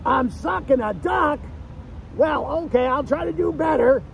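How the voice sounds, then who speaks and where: Very high, creaky cartoon duck. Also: male voice, unhurried, a little hiss or hum, exaggerated voice. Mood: chatty, gullible. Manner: silly, punctuates jokes with quacking. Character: goofy wisecracking cartoon duck comedian. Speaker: stand-in comedy host. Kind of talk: one-liner joke routine with quacks.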